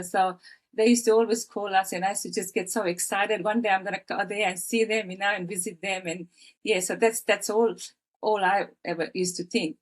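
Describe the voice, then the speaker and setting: A woman in her fifties from Fiji, telling her life story as a podcast guest with many pauses, fillers and restarts. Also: medium pitch. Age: 50s. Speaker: guest, author. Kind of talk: personal storytelling in interview.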